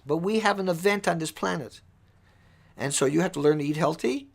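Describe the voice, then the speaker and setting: A man with a squeaky voice giving an impassioned, off-the-cuff livestream monologue, urgent and emphatic, repeating phrases for stress. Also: a little fast, vocal fry. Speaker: livestream host.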